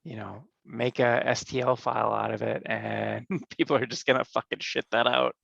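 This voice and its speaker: Gravelly man in a dull dry recording. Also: mid-range voice, fairly even intonation, normal speed, a bit bright, dry recording. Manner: laid-back, swears often, laughs easily. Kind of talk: casual chat among friends.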